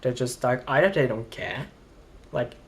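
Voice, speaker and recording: Slow-talking man. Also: mid-range voice, expressive. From Belgium, Flanders. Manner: rambling, hesitant, exasperated complaining. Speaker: vlogger. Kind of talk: casual vlog rant.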